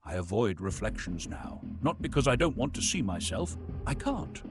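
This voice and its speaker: A man playing a weary, tormented, sinister old Santa, narrating a horror confession in a brooding, deliberate, theatrical way. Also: medium pitch, big pitch swings, natural voice. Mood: bitter, weary. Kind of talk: dramatic horror monologue narration. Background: music.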